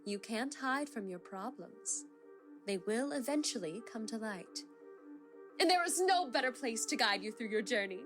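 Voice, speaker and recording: Higher-pitched female announcer over music. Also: animated, moderate pace. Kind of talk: scripted promotional and credits narration. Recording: clear music bed behind voice.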